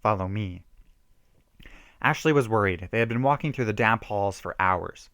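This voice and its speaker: A man's voice: on the low side, animated, brisk, fairly bright tone, no room sound, clean studio sound. A man giving an amateur dramatic reading of a horror story, with theatrical emphasis.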